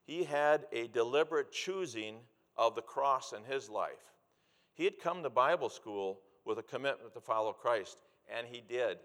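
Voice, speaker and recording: A mature man delivers a sermon in a mid-range voice, with long reverb from a big hall around every word. He speaks earnestly and deliberately, moving between storytelling and exhortation, and repeats key phrases to press them home. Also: medium pitch, fairly bright tone. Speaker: preacher, former missionary.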